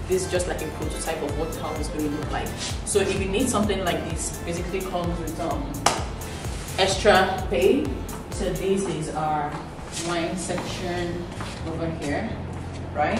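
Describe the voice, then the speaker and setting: Young Nigerian woman vlogger, gushing house tour, lowish voice, camera-mic distance, loud background music. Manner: gushing, exclamatory, repeats praise. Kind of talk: enthusiastic walkthrough. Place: empty furnished house, indoors, echoey rooms. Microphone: camera mic, filmed from a few steps away. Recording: roomy echo, off-mic, loud music bed.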